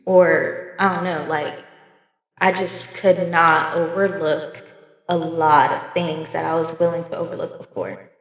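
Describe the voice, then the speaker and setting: A woman's voice: low voice, fairly even intonation, measured pace. A woman in her twenties from California, co-hosting a podcast, talking frankly and casually with slang and frequent laughs. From Oakland, California. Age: 20s. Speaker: podcast co-host. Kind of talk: casual chat. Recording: echoey room with long reverb.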